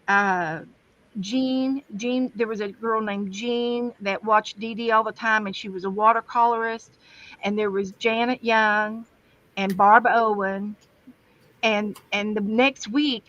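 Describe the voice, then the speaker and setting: A woman's voice: mid-range voice, fairly bright tone, no echo. A woman with a West Virginian accent, telling rambling stories in a casual livestream chat from her home craft room. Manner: chatty, rambling storyteller. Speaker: guest crafter and streamer. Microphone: livestream camera setup. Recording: dull, dry sound.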